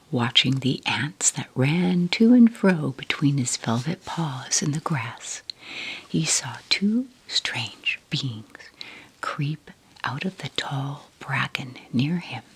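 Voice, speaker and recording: Asmr lady whispering a kids bedtime story, really slow and breathy. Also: low voice. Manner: soft, unhurried whispering.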